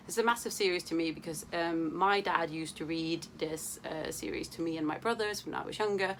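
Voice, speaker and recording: A woman's voice: on the low side, a bit bright, slight background noise. A young woman from Denmark, chatting to camera about books in a playful, casual way, with many fillers and casual swearing. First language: Danish. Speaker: book vlogger.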